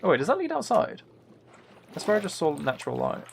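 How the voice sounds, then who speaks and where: Playful man with animated, creaky voice. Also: fairly high pitch, a little fast. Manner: playful, rambling, trying out game sound impressions. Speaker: livestreamer, author. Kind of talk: casual gaming livestream chat with viewers. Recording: faint noise with key clicks. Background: computer keyboard typing and clicking.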